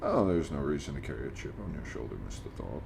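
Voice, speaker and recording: An actor playing a breezy, persuasive real estate dealer in a radio drama, with folksy, glib sales talk. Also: male voice, rumbling low, very animated, fast talker, heavily acted. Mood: breezy persuasion. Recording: clear background noise, engine-like hum.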